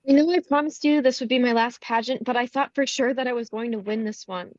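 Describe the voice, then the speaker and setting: A woman's voice: on the high side, fast, dry recording, lightly acted. A woman playing a kind, weary pageant runner-up in her twenties at a script table read, slightly muffled sound. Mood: disappointed. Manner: weary, disappointed. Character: kind, disheartened twenty-five-year-old perennial pageant runner-up.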